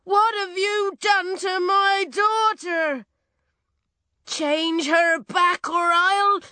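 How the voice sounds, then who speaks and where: A woman's voice: medium pitch, slow, dry recording, pitched above the performer's own voice. A woman voicing a protective, worried farmer father with an urgent manner in a storybook. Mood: outraged. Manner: loud, urgent, pleading. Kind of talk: children's storybook character voice.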